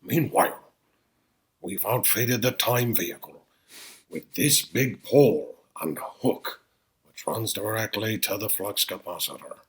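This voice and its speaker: A man voicing an excitable, eccentric old scientist in a comic table read, raspy and theatrical, swinging from hushed to booming. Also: measured pace, lightly acted. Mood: earnest, explanatory.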